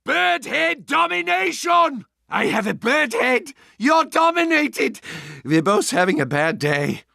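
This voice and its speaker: A theatrical mad scientist from a video game, speaks in a very high, creaky voice. The delivery is frantic and drawn out, with wide swings. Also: male voice, sing-song, slow, bright, forceful tone, no echo, clean studio sound, exaggerated voice. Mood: anguished hysteria. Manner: hysterical, theatrical. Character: frantic mad scientist fused with a bird's head. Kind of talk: exaggerated video game character acting.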